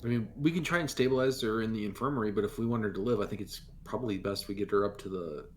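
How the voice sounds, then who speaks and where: A man's voice: lowish voice, fast, slight background noise. A man chatting casually and eagerly as a player at a tabletop roleplaying game.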